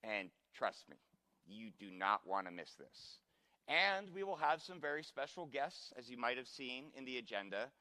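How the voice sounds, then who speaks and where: Bright upbeat male conference emcee. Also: mid-range voice, sing-song. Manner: upbeat, brisk announcer. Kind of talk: event hosting. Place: conference stage in a large hall. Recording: long reverb of a large hall.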